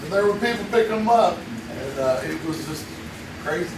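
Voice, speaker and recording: An actor with a Kentucky accent playing an old hometown friend who tells stories and laughs easily in a live old-time radio drama. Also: male voice, high voice, animated, brisk. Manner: chatty storyteller, laughs easily. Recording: echoey stage audio from far off, audible noise.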